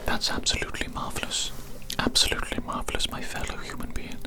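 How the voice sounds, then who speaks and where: An ASMR performer whispering a medical roleplay as an oddly formal, effusive alien doctor posing as human, with deliberate phrasing and repeated words.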